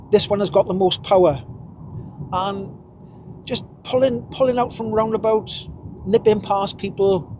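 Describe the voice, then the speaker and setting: Welsh man in his 50s, higher voice, rambling car-vlog chat, faint road hum behind. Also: dark tone. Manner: chatty, rambling, chuckles at himself. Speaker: vlogger. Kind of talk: casual driving vlog. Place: inside a car while driving.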